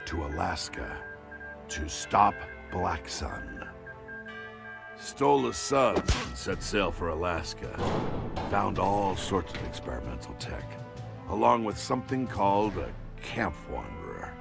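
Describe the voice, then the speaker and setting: A grizzled middle-aged American soldier tells his war story in a video game. The voice is very deep and full of gravel, with lively swings in pitch. He is blunt, profane and defiant, with music playing behind him. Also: full character voice. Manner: terse, hard-boiled, profane. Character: grizzled, aging war veteran captain, blunt and defiant Nazi-killer. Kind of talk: dramatic video game narration and dialogue.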